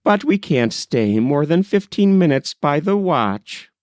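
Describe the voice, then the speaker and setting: A frank young gentleman from a storybook voiced by a male narrator, cheerful and full of banter. His pitch lifts and swings as he teases, in a dry, close recording. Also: sing-song, unhurried, no echo. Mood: teasing.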